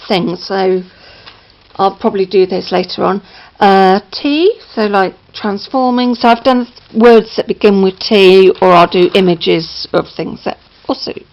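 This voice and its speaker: A woman with a slightly croaky voice, chatting casually at home as she shows her craft book, with lots of ums and self-corrections. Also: mid-range voice, unhurried, a little mellow, highs cut off. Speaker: crafting vlogger. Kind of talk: casual show-and-tell. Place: indoors at home, downstairs near a patio.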